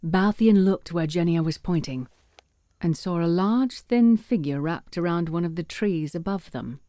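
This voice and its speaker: A woman's voice: lowish voice, measured pace, mellow, no room sound, clean studio sound. A woman reading scripted narration for an audio drama as its storyteller.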